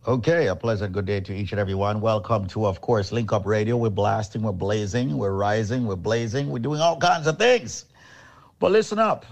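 Deep-voiced man selling on radio. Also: vocal fry. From Jamaica. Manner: brisk, emphatic, repetitive. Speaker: radio host and company chairman. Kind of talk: radio sales pitch.

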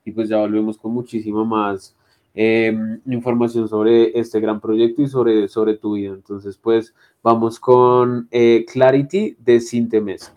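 Mellow-voiced male radio host. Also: lowish voice, flat delivery, unhurried, dull audio. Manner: casual, many fillers like "como" and "pues". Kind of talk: radio interview hosting. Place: radio studio.

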